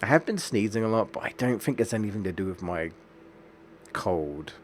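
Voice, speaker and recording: Stuffy-nosed man, low voice. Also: lively intonation, normal speed, a little mellow, a little hiss or hum. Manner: rambling, chatty, restarts sentences often. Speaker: streamer. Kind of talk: casual chat while streaming a game.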